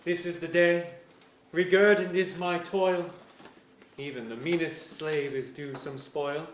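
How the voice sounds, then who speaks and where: A man's voice: fairly high pitch, fairly even intonation, measured pace, slight background noise, natural voice. A man playing a bookish, resentful captive scholar in an archaic verse drama, formal and wry. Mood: dry weariness. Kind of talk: staged reading of pseudo-Elizabethan verse drama. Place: museum auditorium, live reading. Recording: echoey auditorium.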